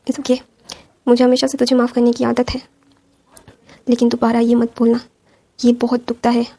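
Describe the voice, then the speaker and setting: Woman storyteller doing old grandfather, gently reproachful, flat delivery. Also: a little high, moderate pace, dry recording. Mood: hurt. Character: old grandfather, hurt but forgiving.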